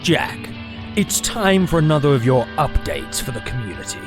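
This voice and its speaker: An actor playing a condescending, commanding villain with an imperious, mocking manner in an audio drama. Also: male voice, medium pitch, wildly swooping pitch, moderate pace, over music. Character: smooth-talking, condescending male villain in command.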